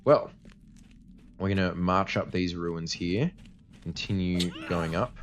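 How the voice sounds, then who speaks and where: A man's voice: low voice, takes it slowly. A man giving casual, explanatory commentary as a let's play host, his voice tired and cutting out slightly from a cold. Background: game music.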